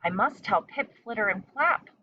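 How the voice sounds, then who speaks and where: A woman's voice: medium pitch, animated, moderate pace, bright, forceful tone, voice pushed up. Lady doing a naive lil girl bat in a kids' story, earnest n childlike, bit muffled audio, faint noise. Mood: excited.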